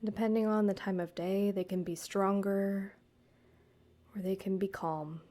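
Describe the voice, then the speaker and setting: Slow, mellow woman guiding meditation. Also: medium pitch, steady tone. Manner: measured, soothing, deliberate pauses. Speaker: guided meditation host, counselor and yoga teacher. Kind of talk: gentle guided meditation narration, addressed to the listener.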